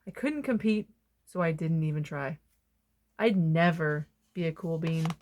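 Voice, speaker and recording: A woman reading a children's picture book aloud, expressively, with dramatic pauses and emphasis. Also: sits low, a little mellow. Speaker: storybook reader.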